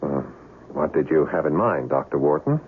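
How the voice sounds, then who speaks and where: A man's voice: very deep voice, lively intonation, moderate pace, a little hiss or hum. A man playing a skeptical, questioning husband in a radio horror drama. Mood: skeptical, uneasy. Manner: incredulous, questioning. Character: skeptical husband in a haunted house. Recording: tinny old radio broadcast.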